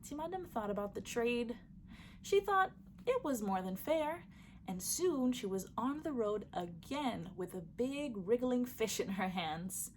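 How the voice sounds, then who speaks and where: A woman reading a folktale aloud for children, lively and shifting her voice for each character. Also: a little high, measured pace, slight background noise. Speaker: storyteller. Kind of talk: storybook read-aloud for children.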